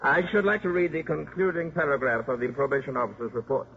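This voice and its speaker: Husky, insistent courtroom lawyer. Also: male voice, mid-range voice, fairly even intonation, quick pace. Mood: formal. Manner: formal, insistent. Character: stern, pressing male courtroom lawyer. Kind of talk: 1950s radio acting. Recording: thin, phone-like old radio recording.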